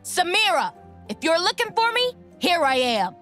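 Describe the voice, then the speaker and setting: An actress playing an angry, rebellious young armored warrior in a video game, brash and combative. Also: female voice, very high voice, very animated, normal speed, fairly bright tone, soft background music, full character voice. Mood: defiant, threatening. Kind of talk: video game character voice lines.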